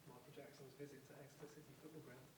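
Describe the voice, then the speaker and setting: A man's voice: medium pitch, flat delivery, very fast. A man hosting an event, giving an informal, hesitant introduction, recorded from afar in an echoey, noisy room. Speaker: event host introducing a performance. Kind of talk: informal, unscripted welcome speech. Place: arts venue, recorded from afar. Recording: echoey, noisy, distant microphone.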